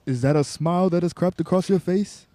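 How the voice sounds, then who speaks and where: A man's voice: high-pitched, a little fast, mellow, no echo, lightly acted. A man acting a jolly giant Christmas spirit in a radio play, hearty and declamatory. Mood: merry. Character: jolly giant male Christmas ghost, booming and merry.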